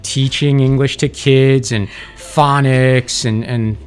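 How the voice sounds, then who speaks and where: A man hosts a radio talk show from a studio, asking questions in a relaxed, halting way and often doubling his words. His voice sits in a middle pitch, with faint music under the show. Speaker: radio host. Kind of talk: radio interview.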